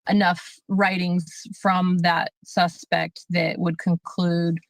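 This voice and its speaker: A woman speaking as a sheriff's deputy in a halting, official interview statement. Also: on the low side, level tone, creaky, no room sound.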